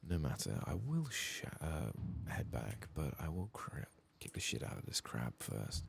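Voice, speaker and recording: A soft-spoken man murmuring ASMR commentary as he plays a video game. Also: extremely low pitch, wildly swooping pitch, normal speed, gravelly, slight background noise. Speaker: ASMR gaming streamer.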